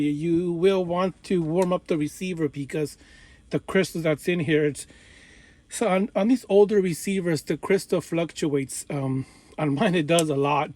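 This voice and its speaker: Higher-voiced man giving a hands-on tutorial. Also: darkish tone. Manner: instructional, halting step-by-step phrasing. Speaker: tutorial presenter, radio hobbyist. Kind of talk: informal hands-on demonstration.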